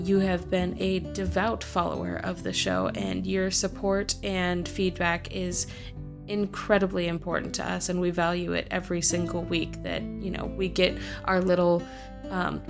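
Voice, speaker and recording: A woman host reading a first-person fantasy story aloud in a measured, deliberate way. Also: fairly low pitch, creaky.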